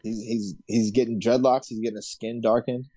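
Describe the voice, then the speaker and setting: A man's voice: mid-range voice, flat delivery, moderate pace, mellow, no echo. A man co-hosting a comedy podcast, tossing out short, slangy wisecracks in a laid-back way. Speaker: podcast co-host. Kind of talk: casual comedic banter.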